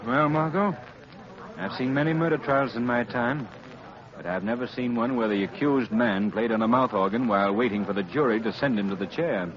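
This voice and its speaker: An actor playing a composed, worldly young gentleman sleuth, assured and articulate, in a 1930s radio drama. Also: mid-range voice, moderate pace, close to an everyday voice. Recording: muffled 1930s radio, crowd murmur.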